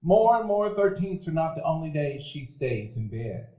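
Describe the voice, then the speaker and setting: With the echo of a live stage around him, an actor plays a nostalgic man remembering his boyhood in a radio play. His voice sits a little high for a man, and he narrates in a measured, literary storytelling style. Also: big pitch swings, dark tone. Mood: tender, melancholy. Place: event hall before live dinner-theater audience. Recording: echoey live theater stage.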